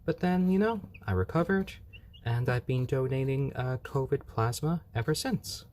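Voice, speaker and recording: A man's voice: medium pitch, animated, normal speed, darkish tone. A man hosting a casual live stream, chatting easygoingly with viewers and cooing over his baby chicks at home.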